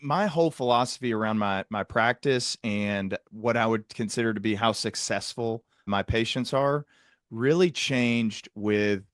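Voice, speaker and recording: Male psychiatrist host, lower-pitched, explanatory and technical, conversational interview delivery, very dry recording. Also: unhurried, fairly bright tone, no echo.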